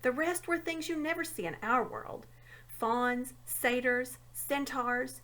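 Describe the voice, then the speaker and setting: A woman giving an expressive read-aloud narration of a children's fantasy story. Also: high-pitched, slow pace. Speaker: storybook reader.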